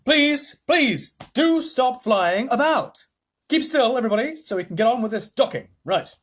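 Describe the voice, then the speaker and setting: A reader voicing an excitable, eccentric male chocolatier who lectures grandly, with a husky edge. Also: medium pitch, moderate pace, pitched above the performer's own voice, natural voice. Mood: exasperated. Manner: bouncy, theatrical, instructive.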